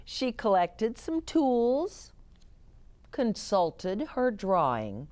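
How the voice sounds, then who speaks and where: A woman reading picture books aloud to children in an expressive way, chatting to her young listeners as host. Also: a little high, very slow. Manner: expressive, explains words and chats to young listeners. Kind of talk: animated children's read-aloud with asides.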